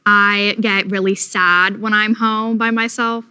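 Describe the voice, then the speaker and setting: A woman's voice: mid-range voice, little pitch movement, slow pace, bright, forceful tone, clear, resonant tone. A teenage girl chatting as a panel guest, bubbly and chatty with lots of fillers. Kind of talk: casual chat.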